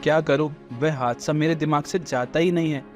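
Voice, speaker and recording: A man's voice: a little high, steady tone, moderate pace, a little mellow, faint music behind, lightly acted. A man playing an earnest truck driver and devoted husband in a cartoon story, talking in a colloquial way. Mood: troubled. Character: adult male truck driver turned cook, devoted husband. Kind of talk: Hindi cartoon story dubbing.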